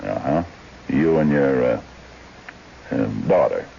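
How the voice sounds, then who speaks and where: Dark-voiced folksy frontier lawman. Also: rumbling low, animated, takes it slowly, vocal fry, exaggerated voice. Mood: folksy, hesitant. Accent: rural American. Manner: folksy drawl, hesitant, homespun. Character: folksy, plain-spoken frontier county clerk and sheriff, big man. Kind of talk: old-time radio drama acting. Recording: dull, faintly noisy vintage radio broadcast.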